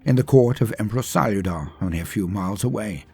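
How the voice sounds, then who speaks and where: A man's voice: lowish voice, fast talker, creaky, darkish tone, slightly rough. A man reading a scripted story as a dramatic narrator, with theatrical, emphatic phrasing that drops away at line ends. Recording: music under the voice.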